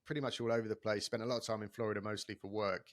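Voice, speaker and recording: A man's voice: on the low side, fairly even intonation, racing, no room sound, clean studio sound. A man hosting a podcast, chatty and enthusiastic, talking casually with many restarts. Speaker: podcast host.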